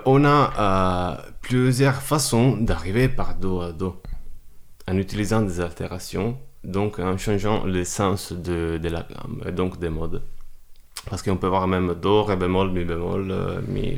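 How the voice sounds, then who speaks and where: A man with an Italian accent and a very deep voice talks as a guest in a casual interview. He searches for his words and restarts often, working his ideas out thoughtfully. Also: creaky. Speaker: musician guest.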